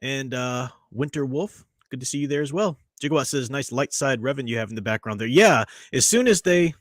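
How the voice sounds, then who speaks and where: A man's voice: medium pitch, a little fast, dry recording. Tired, rambling man with a dry sound. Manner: rambling, chatty, with many fillers and restarts. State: tired after a long day. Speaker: livestream host and reviewer. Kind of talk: casual livestream chat. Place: at home.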